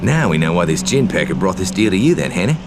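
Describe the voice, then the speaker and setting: Casting: blunt, money-minded gun-for-hire in a game, deep voice with animated inflection. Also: male voice, fast talker. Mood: sardonic. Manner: blunt, slangy, wry. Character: gruff, blunt, money-minded male mercenary. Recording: clear ambient noise behind.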